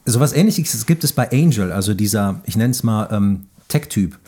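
A man's voice: on the low side, lively intonation, moderate pace, dark tone, husky. A man in a casual film podcast discussion, phrasing his points thoughtfully and with hesitation.

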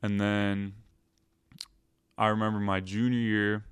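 A young man, a podcast guest, telling his own story in a relaxed way with lots of "like" fillers. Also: lowish voice, takes it slowly, smooth, no echo, studio quality. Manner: laid-back, heavy use of "like" fillers. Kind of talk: casual storytelling.

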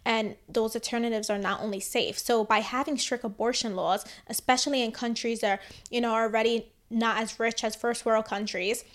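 A woman's voice: fairly high pitch, moderate pace, few pauses. A woman co-hosting a podcast, giving her opinions in casual chat and saying "like" a lot. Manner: chatty, lots of "like", animated opinions. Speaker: podcast co-host.

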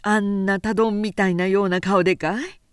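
A woman reader voicing an older, brisk madam of a pleasure house in an audiobook, worldly and matter-of-fact. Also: mid-range voice, fairly even intonation, clear, resonant tone, no echo, clean studio sound, natural voice. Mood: doubtful.

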